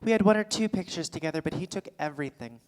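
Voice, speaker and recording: A woman's voice: lowish voice, animated, quick pace, darkish tone, natural voice. A woman in a staged play reading, acting a grieving, wounded wife. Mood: wistful, hurt. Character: grieving, wounded wife recalling her husband. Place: theatre stage. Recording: reverberant theatre hall recording.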